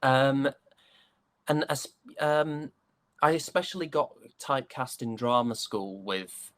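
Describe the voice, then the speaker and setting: A young British man chatting casually as an interview guest, an actor recounting his roles in a hesitant, halting way. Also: mid-range voice, expressive, fairly bright tone. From United Kingdom.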